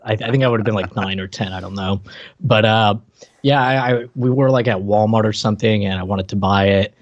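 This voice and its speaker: A man's voice: fairly low pitch, fairly quick, highs cut off. A man chatting casually as a podcast host, with a joking, teasing manner. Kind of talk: casual chat.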